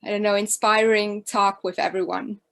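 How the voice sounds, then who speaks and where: A woman's voice: mid-range voice, little pitch movement, moderate pace, clean tone. A woman from Austria, introducing herself on a panel with hesitant repeats. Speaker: panelist, show producer.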